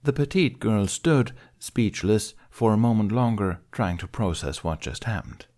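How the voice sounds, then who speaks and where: A man reading an adventure story aloud as an expressive audiobook narrator. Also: fairly low pitch, measured pace.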